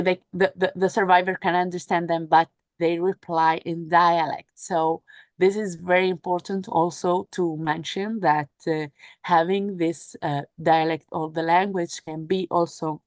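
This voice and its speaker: Woman panelist, native arabic speaker, lowish voice, feels for words as she goes, dry close sound. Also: no room sound. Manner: halting, searching for words, repeats phrases. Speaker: panel speaker, humanitarian sea rescue worker. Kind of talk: unscripted panel talk about work experience.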